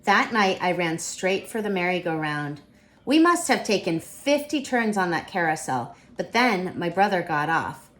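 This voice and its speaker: Female storyteller, lower-pitched, expressive read-aloud of a picture book for children. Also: a little slow. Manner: expressive, dramatic.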